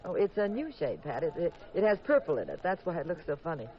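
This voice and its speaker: Detective's wife: swooping, expressive delivery, wry and flustered, old muffled radio mystery. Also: female voice, sits low. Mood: jealous, arch. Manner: animated, brisk, arch. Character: wry, flustered, jealous wife of an amateur sleuth. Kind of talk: 1950s radio drama acting. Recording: muffled vintage radio broadcast.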